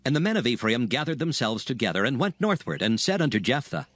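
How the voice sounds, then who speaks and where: A man narrating dramatized scripture in a solemn, formal, measured storytelling manner. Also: mid-range voice, big pitch swings, a bit bright, no room sound.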